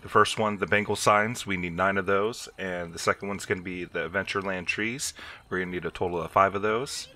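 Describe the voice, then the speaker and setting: A man's voice: sits low, steady tone, a little fast, sharp tone. A man hosting a video game walkthrough, explaining each step in an instructional way. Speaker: gameplay video host.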